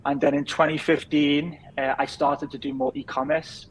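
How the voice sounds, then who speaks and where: A man in his thirties from England with a softened Liverpool accent, chatting easily as a podcast guest with lots of fillers. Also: a little high, flat delivery, normal speed, slight background noise, rough recording. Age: 30s. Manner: easygoing, rambling with many fillers. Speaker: podcast guest, business founder. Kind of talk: casual interview chat.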